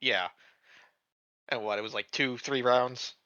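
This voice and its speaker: A man chatting casually as a player in a tabletop game, phrasing things in a halting, tentative way. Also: mid-range voice, fairly even intonation, pushed and bright, clean tone, no room sound, dull audio.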